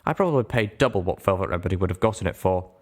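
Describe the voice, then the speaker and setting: A man with a fairly low voice narrating a story aloud in an expressive audiobook style. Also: racing, very noisy. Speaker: narrator reading a fan fiction story aloud.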